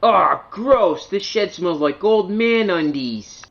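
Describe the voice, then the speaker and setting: Man as cocky tank engine, storybook, brash, complaining, high, animated. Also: takes it slowly, creaky, highs cut off, full character voice. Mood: whiny, rude. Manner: brash, eager, whiny when displeased.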